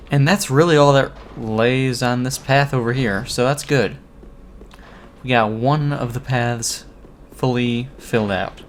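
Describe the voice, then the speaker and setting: A man commentating his own game playthrough, relaxed and chatty, a bit hoarse from losing his voice. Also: medium pitch, slow pace, long pauses, slight background noise. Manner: relaxed, chatty, talks to viewers. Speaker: gaming commentator. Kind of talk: let's play commentary.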